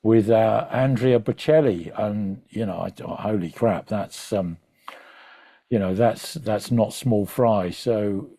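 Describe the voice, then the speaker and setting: A man's voice: on the low side, slow, warm tone, no echo. A man interviewing a guest in an informal, rambling, conversational way. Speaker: interviewer, host.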